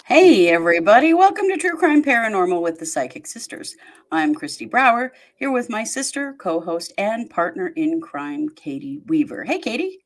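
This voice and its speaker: A woman's voice: medium pitch, big pitch swings, normal speed. A woman hosting a podcast, talking in a chatty, rambling way and laughing easily. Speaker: host. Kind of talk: casual podcast chat.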